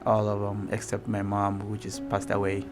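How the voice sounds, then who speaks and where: A man's voice: on the low side, completely flat delivery, normal speed. Hesitant man from Kenya over music. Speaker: interviewee. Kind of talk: personal testimony.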